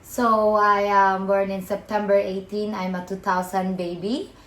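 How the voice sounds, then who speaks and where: A woman in her twenties from the Philippines talks casually and giggles between phrases, with loosely built sentences. Her voice sits at an ordinary pitch. The room around her is echoey. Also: flat delivery, measured pace, fairly bright tone. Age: 20s. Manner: giggly, non-native phrasing. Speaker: vlogger's partner. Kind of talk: casual to-camera chat.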